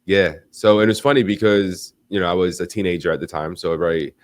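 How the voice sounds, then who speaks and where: A man's voice: deep voice, fairly quick, no room sound. A young American man hosting a live online interview, talking casually with lots of fillers and restarts. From United States. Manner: animated, rambling, frequent fillers and restarts. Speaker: livestream host.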